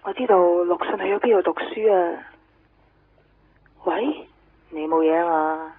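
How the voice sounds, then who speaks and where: A woman acting an urgent, secretive young friend in a Hong Kong Cantonese radio drama, with a husky voice. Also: fairly low pitch, unhurried. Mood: urgent, confiding. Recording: tinny phone-like radio recording.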